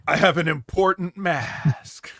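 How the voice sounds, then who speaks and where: A man's voice: fairly high pitch, slow pace, gravelly, bright, forceful tone, no echo, studio quality. A man hosting a casual podcast, recapping in a rambling, wry way full of ums. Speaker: host.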